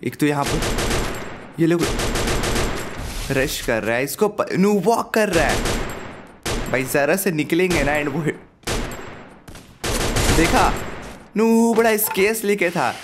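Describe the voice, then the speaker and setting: Excited young man with a sore throat. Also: a little high, takes it slowly, pauses often, very noisy. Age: young adult. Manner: excited, hypes kills, laughs often. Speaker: gaming YouTuber. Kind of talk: live gameplay commentary. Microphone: headset. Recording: game gunfire behind.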